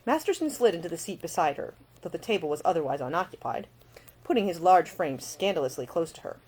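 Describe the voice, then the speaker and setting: A woman reading her own fiction as an audiobook, in an expressive storytelling manner. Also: on the low side, quick pace, breathy edge, rough recording. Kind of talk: scripted fiction narration.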